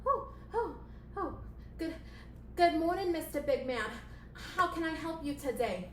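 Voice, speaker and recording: Woman playing a high, timid female puppet assistant. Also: expressive, measured pace. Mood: timid, eager. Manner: soft, stammering, flustered, deferential. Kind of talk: puppet show acting. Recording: roomy echo, background noise.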